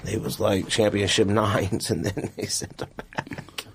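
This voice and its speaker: A man's voice: on the low side, level tone, a little slow, gravelly, a little hiss or hum. A man co-hosting a sneaker podcast, chatting casually and giving opinions in informal slang.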